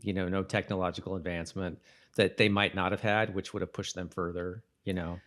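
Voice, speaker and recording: Man with a lowish voice, chatting casually. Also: flat delivery, brisk, fairly bright tone. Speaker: podcast co-host. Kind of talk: casual chat about a TV episode.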